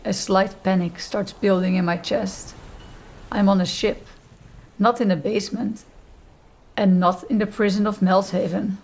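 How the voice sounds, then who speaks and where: A woman reading a first-person novel as an audiobook narrator, with measured, deliberate phrasing. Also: mid-range voice, fairly even intonation, gravelly, darkish tone, low-quality recording.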